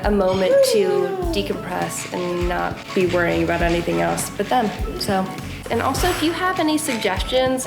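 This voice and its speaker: A woman hosting a family craft video, chatting casually and encouragingly as she gives simple instructions. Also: mid-range voice. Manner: animated, encouraging when talking to the child. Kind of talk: casual craft tutorial and chat with a young child. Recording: echoey room with music underneath. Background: music.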